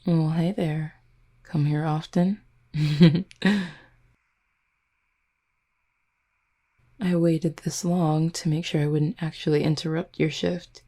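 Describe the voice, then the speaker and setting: A woman's voice: sits low, little pitch movement, measured pace, mellow. A woman playing a flirty, confident werewolf who teases her listener in an intimate roleplay audio drama. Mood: playful, teasing. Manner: teasing, flirtatious, smooth-talking. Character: flirty, teasing, confident female werewolf pack beta.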